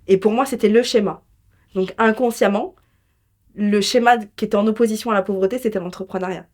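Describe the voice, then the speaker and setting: A woman's voice: mid-range voice, fairly even intonation, fairly quick, a little mellow. A woman speaking conversationally as an interview guest, telling personal stories in an emphatic, colloquial way. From France. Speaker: interview guest, entrepreneur and business mentor.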